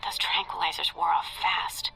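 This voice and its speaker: A woman's voice: lowish voice, steady tone, normal speed, bright, forceful tone, faint music behind. An actress playing a guarded, matter-of-fact young woman agent in a video game, clipped and cool. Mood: cool, businesslike.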